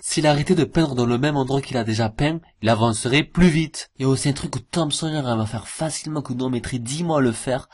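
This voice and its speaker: A man hosting an informal cartoon review, with a jokey, mocking and slangy way of talking. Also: medium pitch. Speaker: video host. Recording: voice-over with music behind. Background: music.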